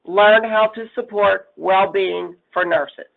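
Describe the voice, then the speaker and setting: A middle-aged woman with a raspy voice, hoarse from a cold, giving a webinar presentation as a nursing executive. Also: mid-range voice, steady tone, slow. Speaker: chief nursing officer presenting. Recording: phone-line audio.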